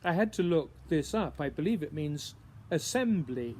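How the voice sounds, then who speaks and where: A man who is a writer reading his poem aloud, in a measured, musing way with deliberate pauses between short phrases. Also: on the high side, expressive, a little mellow. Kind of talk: introduced poetry reading, measured recitation.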